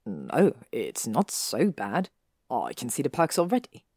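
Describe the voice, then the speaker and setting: A woman reading a fan story aloud, voicing an arrogant, possessive young male wizard in a lowered, clipped drawl. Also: wildly swooping pitch, measured pace, warm tone, breathy edge, dry recording, clean studio sound, heavily acted. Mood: tender.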